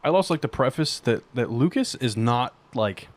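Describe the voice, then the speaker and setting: A man's voice: mid-range voice, animated, moderate pace. A man playing a tabletop game, chatting and describing his character in informal slang. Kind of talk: casual game chat.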